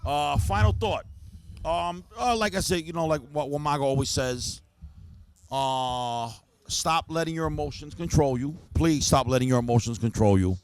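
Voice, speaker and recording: Brief: male podcast co-host, gravelly, fairly high voice, brash teasing banter, dry studio sound. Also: normal speed, no room sound. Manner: brash, teasing, breaks into sing-song. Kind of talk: casual banter.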